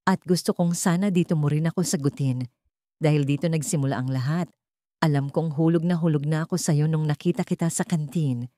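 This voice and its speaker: A woman's voice: deep voice, a little fast, warm tone, dry recording, lightly acted. A woman voicing an earnest, tender young male suitor pleading his love in a romance audio story.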